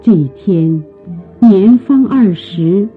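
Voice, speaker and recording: A woman narrating a radio drama in a measured storytelling style with deliberate phrasing. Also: mid-range voice, animated, slightly rough, faint music behind. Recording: muffled phone-like old radio recording.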